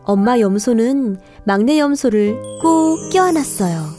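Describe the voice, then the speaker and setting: A woman's voice: on the high side, lively intonation, a little slow, dark tone, faint music behind. A woman narrating a scripted children's fairy tale in a careful storytelling manner.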